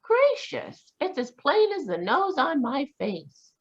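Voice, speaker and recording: A woman voicing a spirited, eager young woman who talks in a bright, exclamatory way in a live online radio drama. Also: high-pitched, huge pitch swings, slow, lo-fi sound, exaggerated voice. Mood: perky.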